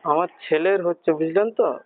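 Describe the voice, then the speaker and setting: A high-voiced man speaks over a thin, muffled phone line. He hesitates and rambles pleadingly, playing the worried parent in a prank call. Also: moderate pace. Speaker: prank caller.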